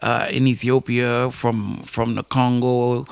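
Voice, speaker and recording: A man's voice: mid-range voice, little pitch movement, takes it slowly, creaky, clean tone, no room sound. A man from the United States, a poet and musician, answering interview questions in a reflective, conversational way and often rephrasing himself mid-sentence.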